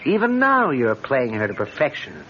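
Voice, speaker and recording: An actor playing a wry, urbane friend and rival, speaking in a measured, ironic and insinuating way in a radio drama. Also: male voice, mid-range voice, wildly swooping pitch. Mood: wry needling. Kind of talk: old-time radio drama acting. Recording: muffled old radio recording, noticeable hiss.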